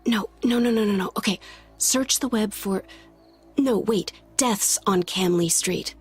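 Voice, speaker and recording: A woman acting a headstrong, restless blind high school girl in an audio drama, talking casually and naturally. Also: unhurried, breathy edge, faint music behind. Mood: restless, frustrated. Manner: casual, conversational.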